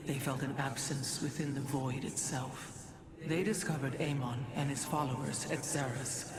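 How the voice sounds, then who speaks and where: A woman playing a grave, haunted alien seer in a video game, speaking in a formal, portentous manner. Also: extremely low pitch. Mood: grave revelation. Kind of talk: cinematic video game acting. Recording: loud music behind.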